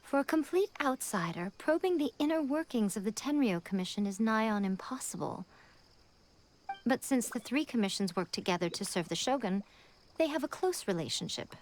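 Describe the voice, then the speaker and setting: A woman's voice: a little high, sing-song, moderate pace, a little hiss or hum. A woman playing a sly, elegant shrine maiden in a video game, poised and formal, teasingly condescending as she gives orders. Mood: teasing, instructing. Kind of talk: English video game voice acting.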